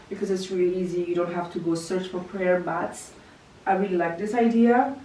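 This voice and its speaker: A woman's voice: lowish voice, slight background noise. Woman, echoey room. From Kazakhstan. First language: Kazakh. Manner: chatty, relaxed, many "like" fillers. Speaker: vlogger giving a home tour. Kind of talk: casual house tour narration. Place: home living room and bedroom. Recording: echoey room, mic at a distance.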